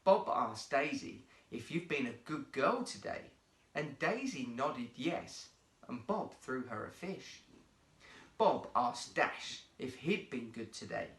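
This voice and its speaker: A man reading a children's story aloud as an expressive storyteller. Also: mid-range voice, slow, roomy sound. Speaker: guest storyteller, actor.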